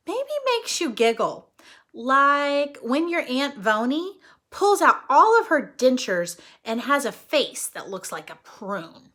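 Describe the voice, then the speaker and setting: A woman reading a story aloud to children like a teacher, expressively, pausing to explain things simply and check in with her listeners. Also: high voice, a bit bright. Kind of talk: animated read-aloud storytelling with explanatory asides.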